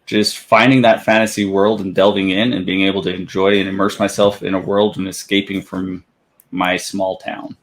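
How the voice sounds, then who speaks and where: A man talking calmly as a guest in a casual interview, in a fairly flat way, with many "like"s. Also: low voice, normal speed, a little hiss or hum. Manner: calm, says he has a monotone voice, lots of "like". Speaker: guest, book reviewer. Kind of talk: casual chat.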